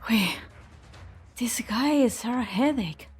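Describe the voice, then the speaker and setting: A woman acts out a spirited young scientist-caster in a game drama. Her voice is high and expressive with a wry edge, over faint background music. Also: slow pace. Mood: urgent explaining. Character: spirited, loyal young female researcher and spellcaster. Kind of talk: amateur fan dub game drama acting.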